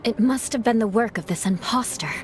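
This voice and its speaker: A woman playing a bold, composed warrior in a video game, with formal, deliberate phrasing. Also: a little high, over music, lightly acted. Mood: composed, serious.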